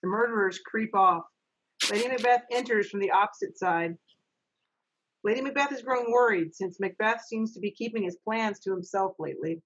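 A woman's voice: medium pitch, normal speed, fairly bright tone, slightly rough. Middle-aged woman, dull lo-fi call audio. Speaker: stage manager and narrator. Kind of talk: reading stage directions in an amateur online readers' theater. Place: home office on a video call.

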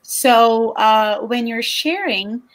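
A woman's voice: a little high, very slow, poor audio. A woman author from Brazil answering a panel question over a video call from home, thinking aloud and correcting herself as she goes. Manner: thoughtful, hesitant, self-correcting. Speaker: children's book author, panelist. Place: home room. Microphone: laptop webcam mic on a video call.

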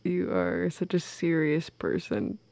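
A young woman plays an affectionate, teasing girlfriend in an intimate audio roleplay. Her voice is mellow and unhurried, with a noticeable creak. It sounds very close and dry, soft and breathy. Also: lowish voice, expressive. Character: playful, affectionate goth girlfriend. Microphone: close microphone, dry.

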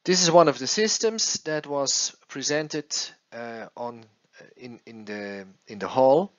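A man working as an acoustics consultant explains a case study over slides in a halting way, repeating words as he goes. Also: mid-range voice, highs cut off. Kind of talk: slide presentation, explanatory narration.

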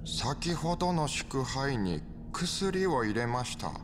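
Slow, melodic, menacing triad boss. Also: male voice, mid-range voice, big pitch swings, background noise. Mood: coldly menacing. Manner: formal, courteous, coldly threatening. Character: polite, menacing male triad gang boss. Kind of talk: dramatic video game acting. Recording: music behind.

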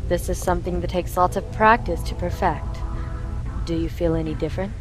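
A woman playing a patient elf mentor in a fantasy audio drama, with a measured, instructive manner. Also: fairly low pitch, fairly even intonation, over music, close to an everyday voice.

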